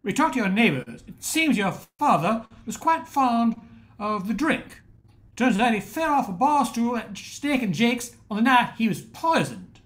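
Older woman acting suspicious cop, fairly high voice, lively intonation, blunt, radio drama. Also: measured pace. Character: blunt, suspicious police officer. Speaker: amateur radio drama performer. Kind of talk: old-time radio play acting.

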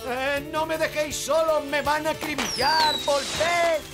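A man's voice: very high-pitched, fairly even intonation, a little slow, loud music behind the voice. An actor playing a nervous, flustered grown man in a cartoon dub. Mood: panicked.

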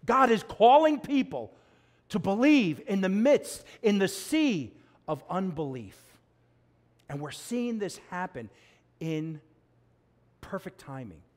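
A middle-aged man preaching a sermon from a church pulpit, swinging between loud emphasis and low, quiet drops. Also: sing-song, takes it slowly, pauses often. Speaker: pastor.

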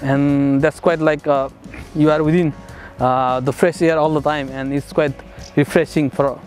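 Young guy from nepal, kinda high voice, lots of uh's, lapel mic, faint music under it. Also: steady tone, measured pace. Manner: hesitant, many "uh" fillers, soft chuckles. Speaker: master's student. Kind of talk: casual testimonial interview. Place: outdoors on a city riverside path and in a bright building.

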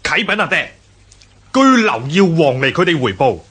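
An actor playing an eager, dutiful warrior son reporting formally in a historical radio drama, with a Hong Kong Cantonese accent. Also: male voice, a little high, sing-song, normal speed, fairly bright tone, slightly rough, exaggerated voice. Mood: proudly reporting.

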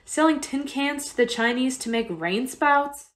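A woman's voice: high voice, lively intonation, a little slow. A woman playing a stern, brusque, no-nonsense mother in a radio play. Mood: brisk, practical. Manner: brusque, demanding. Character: stern, no-nonsense refugee mother, brisk and practical.

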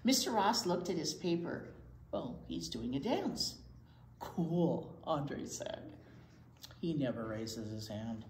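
Older woman reading aloud, lively but unhurried. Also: low-pitched. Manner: expressive, often dropping to a hushed near-whisper. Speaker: children's library storytime reader. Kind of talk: picture book read-aloud with asides to children. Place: indoor children's library storytime corner. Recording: roomy echo, faint background noise.

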